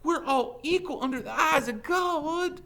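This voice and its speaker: Man voicing a high-pitched frantic young schoolteacher woman. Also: lively intonation, measured pace, sharp tone, heavily acted. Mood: indignant. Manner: urgent, breathless pleading.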